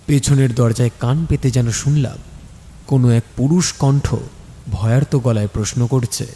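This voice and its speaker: A man's voice: medium pitch, steady tone, moderate pace, dark tone. A man narrating a scripted story in the first person, in a dramatic storytelling style. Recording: long studio reverb, music behind. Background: background music.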